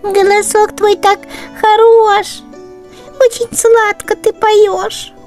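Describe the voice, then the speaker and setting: A woman voicing a silly, sleepy baby mouse in a storybook, fussy and squeaky as it complains. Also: flat delivery, slow, darkish tone, soft background music. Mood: pleased, sleepy.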